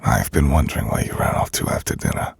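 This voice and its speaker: A man playing an ancient, brooding vampire lord in an intimate ASMR roleplay, speaking formally and drily in a gravelly, breathy near-whisper. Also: extremely low pitch, lively intonation, full character voice. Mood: dry teasing. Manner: formal, measured, dry. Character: ancient brooding vampire lord, dryly teasing, courteous host.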